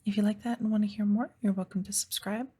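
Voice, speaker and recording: A woman who makes audio roleplays speaks directly to her listeners. Her voice is hushed and slightly husky. She sounds friendly and appreciative, in the soft style of an ASMR outro. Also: mid-range voice, fairly even intonation, quick pace.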